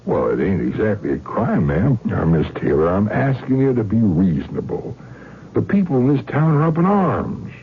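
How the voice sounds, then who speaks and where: A man's voice: lowish voice, sing-song, normal speed. An actor playing a reluctant, dutiful small-town lawman in a radio drama, speaking in a folksy, hesitant manner. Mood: apologetic, cautioning. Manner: hesitant, folksy, drawling phrases. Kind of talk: old-time radio drama acting. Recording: muffled vintage radio with faint noise.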